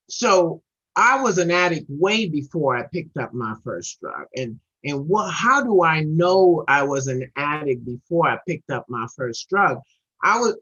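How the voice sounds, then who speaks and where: A woman's voice: deep voice, a bit bright, breathy edge. A middle-aged woman from Trinidad and Tobago, speaking as the guest at a recovery meeting and sharing her story earnestly, often repeating words as she gathers momentum. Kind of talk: personal recovery share. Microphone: video call. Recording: dull lo-fi video call audio.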